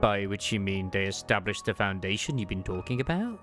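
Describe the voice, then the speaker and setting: Man reading as a low, serious game commander. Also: lively intonation, fairly quick, over music, close to an everyday voice. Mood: grave, curious. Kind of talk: read-aloud video game dialogue.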